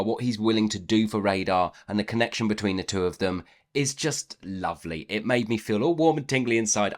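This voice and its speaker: Low-voiced man reviewing books casually. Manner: chatty, opinionated, emphatic repetition. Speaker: book reviewer. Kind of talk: casual book review to camera.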